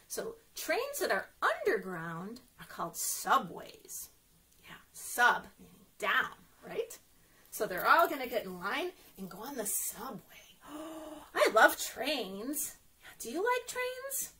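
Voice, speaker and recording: A woman's voice: high voice, a bit bright. A woman reading a picture book aloud at story time for young children, with sing-song, exaggerated expression and frequent little check-in questions.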